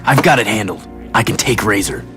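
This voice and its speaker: An actor playing a terse, truculent young combat racer, blunt and determined. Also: male voice, lowish voice, expressive, brisk, pushed and bright, soft background music. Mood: confident.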